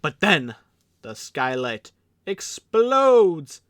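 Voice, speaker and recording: A man's voice: on the high side, sing-song, extremely slow, background noise. A man narrating an audio drama in a theatrical announcer style, slipping into offhand comic asides. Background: music.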